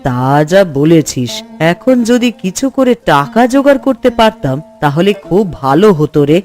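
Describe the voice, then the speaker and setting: Man voicing a higher-pitched, wistful village housewife. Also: very animated, normal speed, darkish tone. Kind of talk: cartoon voice acting. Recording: music behind the voice.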